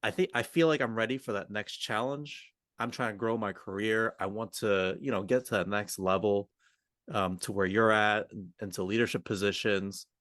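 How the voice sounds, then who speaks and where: Male co-host for a coaching podcast: lower register, very dry sound, informal, works out thoughts mid-sentence. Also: fairly even intonation, normal speed, fairly bright tone, no room sound. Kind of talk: conversational coaching discussion with roleplay.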